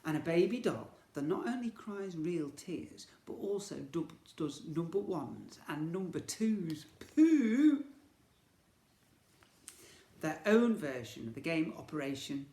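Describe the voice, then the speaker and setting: A man's voice: on the high side, slow pace. Theatrical male storybook reader in an echoey room. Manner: theatrical, expressive, with emphatic sound words. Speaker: teacher reading a story to children. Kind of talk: animated storybook reading for young children.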